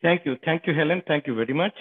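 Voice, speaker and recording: A man's voice: a little high, fast, slightly rough. A man hosting an online panel, talking fluently with some fillers. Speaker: host, company founder. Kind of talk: panel moderating. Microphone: online call. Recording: tinny phone-like call.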